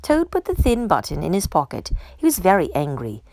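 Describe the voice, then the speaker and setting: A woman reads a storybook aloud with lively, swooping intonation. Her delivery is expressive and made for young children, and the recording is close and dry. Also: fairly low pitch, fairly quick, no echo. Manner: animated, acts out character dialogue. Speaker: storytime reader.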